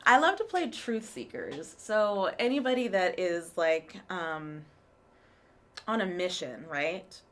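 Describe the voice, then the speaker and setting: A woman co-hosting a live show, talking in a chatty, expressive way. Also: on the low side. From rural area. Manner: chatty, expressive, quick to laugh. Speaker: co-host and actor. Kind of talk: casual live show chat.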